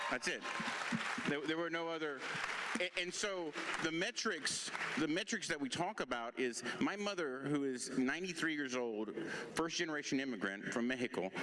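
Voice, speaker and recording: A middle-aged man speaks as a political panelist in a big, reverberant hall. His pitch is middling, and he drives points home with emphatic repetition. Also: noisy background. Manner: emphatic, repeats phrases for stress. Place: large indoor conference stage. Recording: echoey hall with long reverb.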